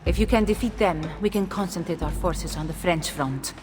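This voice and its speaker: A woman playing a shrewd, poised Italian noblewoman in a video game, cool and deliberate, with an Italian accent. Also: fairly low pitch, background noise, natural voice. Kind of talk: video game dramatic acting. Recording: long reverb under clear music.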